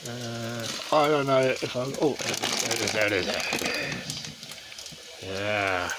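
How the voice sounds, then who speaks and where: A man's voice: medium pitch, fairly even intonation, unhurried, heavy background noise. A man with a British accent chatting casually to camera as he vlogs from inside a small motorhome. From United Kingdom. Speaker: vlogger and amateur radio hobbyist. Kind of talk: casual vlog chat to camera.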